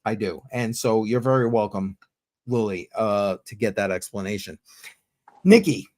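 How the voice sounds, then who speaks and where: A middle-aged man from Massachusetts with a Massachusetts accent, hosting a casual live chat in a relaxed, chatty way. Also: on the low side, normal speed. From Southeastern Massachusetts. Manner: relaxed, chatty, drinking beer. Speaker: livestream host.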